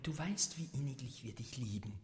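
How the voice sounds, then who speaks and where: An actress playing an eerily soothing accomplice in a poetic radio play, whispery and hushed, chanting false tenderness. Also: female voice, very deep voice, expressive, normal speed. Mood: eerily tender. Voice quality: whispery, breathy. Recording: long echoing reverb.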